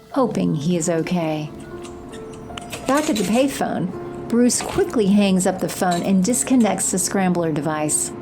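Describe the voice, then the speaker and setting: A woman narrating a scripted audio drama as its storyteller. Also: fairly low pitch. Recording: noisy ambience behind.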